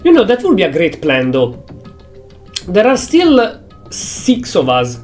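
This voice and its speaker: A young man with an Italian accent, a native Italian speaker, thinking aloud in English with halting phrasing as he streams a game. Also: high voice, huge pitch swings, darkish tone, faint music behind. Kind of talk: casual gameplay commentary.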